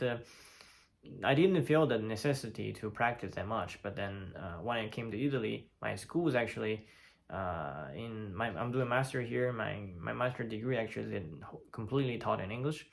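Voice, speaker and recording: Chinese guy in his twenties, low gravelly voice, lots of ums and uhs, just chatting to the camera. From China. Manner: hesitant, many fillers and restarts. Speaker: vlogger. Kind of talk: casual talk to camera. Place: lives in Italy.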